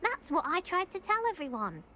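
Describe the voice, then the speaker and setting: A woman's voice: extremely high pitch, big pitch swings, fairly quick, bright, forceful tone, slight background noise, exaggerated voice. A woman playing a self-important, aggrieved schoolgirl in a video game, with a British accent and a dramatic, indignant manner. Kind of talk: video game character dialogue.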